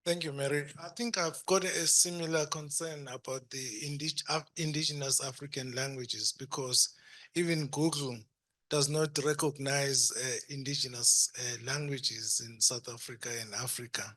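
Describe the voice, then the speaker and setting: A man's voice: medium pitch, fairly even intonation, moderate pace, sharp tone. Polite man asking a question. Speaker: audience questioner.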